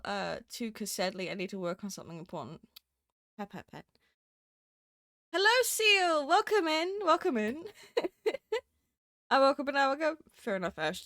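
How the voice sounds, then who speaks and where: A woman's voice: medium pitch, fairly bright tone, dry recording, studio quality. A woman streaming live, chatting casually with many fillers and giggles, a bit sniffly from a cold. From Southern Hemisphere. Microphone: desk microphone.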